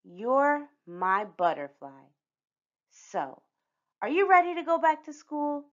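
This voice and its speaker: Woman playing a reassuring storybook mom, very animated. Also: high-pitched, takes it slowly, muffled recording, pitched above the performer's own voice, heavily acted. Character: loving, reassuring mother of a small girl.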